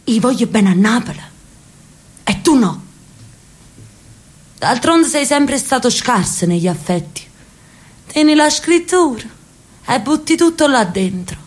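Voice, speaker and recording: An actress playing an elderly sister from Naples in a radio drama, telling a street story with lively, affectionate chatter. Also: female voice, on the high side, unhurried, pauses often. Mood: reproachful. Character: elderly Neapolitan sister, talkative, loves her city.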